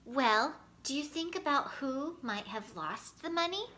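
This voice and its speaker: A woman playing a caring, gently probing mother in a children's storybook. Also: high voice, lively intonation, unhurried, heavily acted. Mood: concerned.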